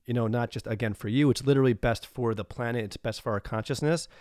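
A man's voice: mid-range voice, fast, darkish tone, no room sound, clean studio sound. A man hosting a podcast, talking in an upbeat, affirming way with lots of "like" and "you know". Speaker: podcast host. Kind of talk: casual interview chat.

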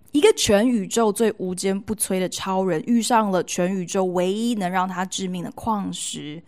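Female podcast host, Taiwanese Mandarin accent, medium pitch, chatty storyteller with playful emphasis. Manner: expressive, playful emphasis with colloquial sentence-ending particles. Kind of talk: chatty storytelling monologue to listeners.

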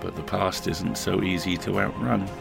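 Very gravelly, deep, ominous man. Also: fairly even intonation. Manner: ominous, deliberate. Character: ominous, grave man narrating a dark thriller. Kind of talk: dramatic trailer narration. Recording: dramatic music bed behind.

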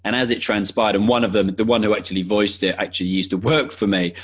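A man's voice: fairly low pitch, fairly even intonation, quick pace, slightly rough, muffled recording. A man talking casually as a podcast guest, enthusiastic and conversational, restarting his sentences as he goes.

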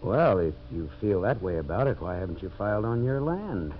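Hard-headed American lumberman, low voice, swinging intonation, old radio drama, noisy recording. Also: very animated, normal speed, highs cut off. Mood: evasive, hedging. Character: brusque, evasive male lumberman, hard-headed and scheming. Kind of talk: 1950s radio drama acting.

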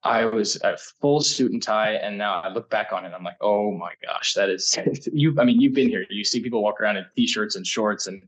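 Husky low-voiced man, from the United States, rambling, self-correcting, laughs a lot, interview guest. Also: moderate pace, hardly any pauses, low-quality recording. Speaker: guest, startup incubator energy lead. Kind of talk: casual interview storytelling.

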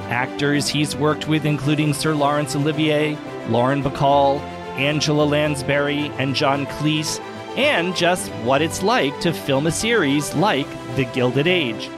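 Higher-voiced man hosting a podcast, effusive. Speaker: podcast host. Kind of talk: warm-up narration and interview. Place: club library for the talk.

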